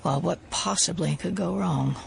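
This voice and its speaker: A woman playing a brisk, sceptical American federal agent in an audio drama, measured, dry and assertive. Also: lowish voice, gravelly, soft background music. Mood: challenging.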